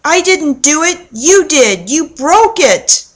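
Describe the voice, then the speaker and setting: A woman's voice: high-pitched, very animated, slow pace, voice pushed up, full character voice. A woman voicing a spirited, stubborn little girl in a children's storybook, emphatic and defiant. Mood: upset, protesting.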